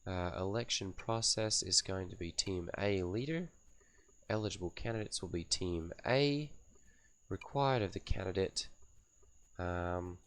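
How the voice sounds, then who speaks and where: A man hosting a gaming channel, raspy and coughy from a cold, casually thinking aloud as he reads out and fills in game settings one by one. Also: sits low, unhurried, a bit bright, slight background noise. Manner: thinks aloud while reading out menu settings. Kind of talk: casual game setup commentary.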